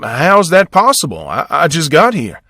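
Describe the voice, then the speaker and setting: A man's voice: on the high side, huge pitch swings, normal speed, sharp tone, dry recording, full character voice. An actor with a Southern US accent playing a short, smiling, talkative delivery man. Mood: friendly.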